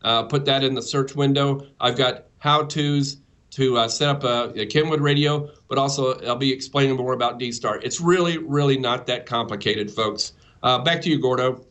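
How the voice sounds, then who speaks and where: A man's voice: medium pitch, normal speed, a bit bright. A man giving a casual live demonstration as a presenter, enthusiastic and informal with his audience. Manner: enthusiastic, informal, addresses listeners as folks. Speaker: presenter of a ham radio segment.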